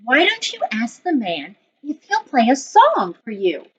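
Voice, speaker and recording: A woman's voice: fairly high pitch, wildly swooping pitch, measured pace, slight background noise. A woman voicing a wise, spirited storybook grandmother, chiding lovingly with emphatic delivery. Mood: playful chiding. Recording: dull lo-fi online recording.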